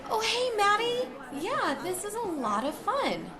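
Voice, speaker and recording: A woman's voice: very high voice, unhurried, a crowd in the background, exaggerated voice. A woman playing a sociable, slightly flustered aunt in an audio drama, chatty and conversational. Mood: breezy. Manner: animated, chatty. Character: sociable, flustered aunt covertly looking around.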